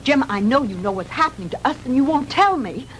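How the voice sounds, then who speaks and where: High-pitched, frightened young woman. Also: animated, fairly quick. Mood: rising hysteria. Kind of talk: 1960s low-budget film acting. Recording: noisy old 1960s film soundtrack.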